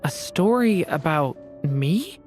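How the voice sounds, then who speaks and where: A woman voicing a gentle, pensive dragonborn youth in a video game, thoughtful and a little hesitant. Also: sits low, lively intonation, slow pace, music in the background. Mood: wondering. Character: gentle, pensive dragonborn youth, male, soft-spoken and wistful. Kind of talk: video game character dialogue.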